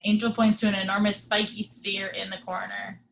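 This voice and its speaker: A woman reading the scene's action descriptions in a steady, matter-of-fact way over a video call. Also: medium pitch, brisk, vocal fry, slight background noise, rough recording. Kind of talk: reading stage directions at a table read.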